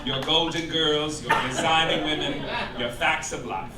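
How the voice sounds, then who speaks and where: High-pitched campy man, arch and theatrical. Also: steady tone, unhurried, a loud crowd. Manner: mock-formal, arch, campy, with emphatic punchlines. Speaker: comedian presiding as chairman of a mock club. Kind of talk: scripted satirical stage monologue, read as official rulings. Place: live cabaret club stage before an audience. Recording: roomy live club recording, distant, loud noise. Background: audience laughter, loud room noise.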